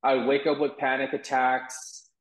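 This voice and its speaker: A man's voice: mid-range voice, monotone, normal speed. A young man who grew up partly in Taiwan, with Mandarin as his first language, speaking candidly and reflectively as an interview guest, with frequent restarts. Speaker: wellness guest. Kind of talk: interview conversation. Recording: roomy echo.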